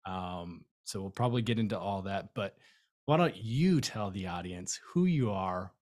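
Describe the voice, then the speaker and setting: Male podcast host, lowish voice; friendly, offhand delivery, studio setting. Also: animated, moderate pace, darkish tone, no echo. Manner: friendly, loose, many fillers. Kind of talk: casual interview chat.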